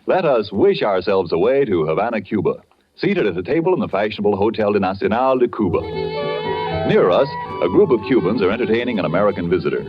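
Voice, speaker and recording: Animated male 1940s radio announcer. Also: lowish voice, fast talker. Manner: polished, formal salesmanship. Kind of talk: scripted 1940s radio commercial narration. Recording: dull vintage radio broadcast, music behind. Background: music.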